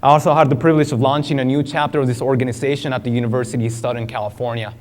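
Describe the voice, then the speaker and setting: A man's voice: medium pitch, steady tone, racing, a little mellow. A young man from Colombia, a native Spanish speaker, giving an earnest personal testimony speech on a large conference stage with a lapel mic. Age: young adult. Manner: earnest, storytelling, moves hands while standing. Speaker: student speaker.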